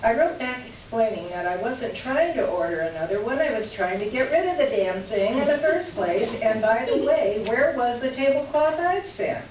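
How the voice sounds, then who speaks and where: A woman's voice: a little high, lively intonation, moderate pace, few pauses, a little mellow, lightly acted. A woman performing a readers theater piece as an exasperated, sarcastic writer reading out her complaint letter with emphatic delivery. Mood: indignant. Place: reverberant room, recorded from a distance. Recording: echoey room, distant mic, muffled, faint noise.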